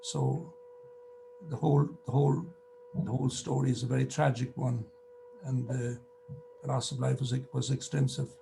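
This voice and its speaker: Gravelly Irish man, grave and repetitive, noisy humming call line. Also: medium pitch, moderate pace, long pauses. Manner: repeats words while speaking gravely. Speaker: speaker on local history. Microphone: video call.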